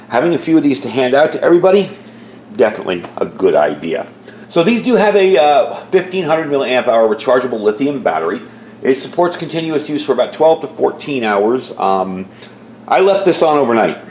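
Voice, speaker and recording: A man's voice: medium pitch, a little mellow. A man giving a casual, rambling product review as a hobbyist, explaining features in a conversational way. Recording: echoey room with faint background noise.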